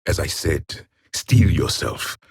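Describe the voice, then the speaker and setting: A man's voice: rumbling low, lively intonation, slow pace, vocal fry, a little mellow, husky, no room sound, studio quality, full character voice. A man playing a stern, vengeful ancient alien warrior for a video game, speaking in blunt, formal phrases. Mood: grim, disdainful. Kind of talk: video game character voice lines.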